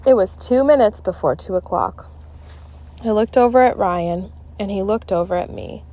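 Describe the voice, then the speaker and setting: A woman narrating a children's book aloud in an expressive, dramatic, deliberate style. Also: medium pitch. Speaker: storybook reader.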